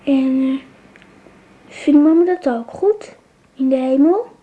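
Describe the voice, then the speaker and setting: A little girl in a radio play, high soft mellow voice, slow and innocent. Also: muffled recording. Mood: innocent, sleepy. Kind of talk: Dutch radio drama acting.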